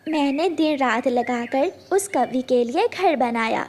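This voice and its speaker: Woman voicing a high-pitched gentle mother sparrow. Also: animated, slow, heavily acted. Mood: earnest, recounting. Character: gentle mother sparrow, caring, earnest, storytelling to her daughter. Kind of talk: children's cartoon voice acting.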